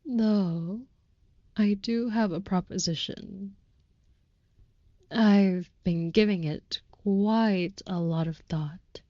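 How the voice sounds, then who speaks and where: Slow, mellow, courtly vampire lover played by a woman. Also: mid-range voice, no echo, lo-fi sound. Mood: earnest. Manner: formal, old-fashioned, tender, earnest. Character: devoted, overprotective, courtly female vampire lover. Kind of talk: intimate comfort audio roleplay.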